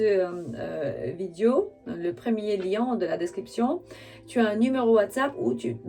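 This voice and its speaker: A woman who is not a native French speaker gives an intuitive tarot reading straight to the listener, halting as she searches for words. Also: mid-range voice, gravelly, mellow. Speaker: spiritual tarot reader and channel host.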